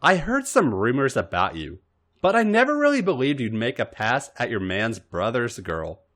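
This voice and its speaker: A man voicing a sweet, wide-eyed young woman in a story, with breathy exclamations and a teasing, stern edge. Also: medium pitch, big pitch swings, normal speed, a bit bright. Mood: mock disappointed, scolding.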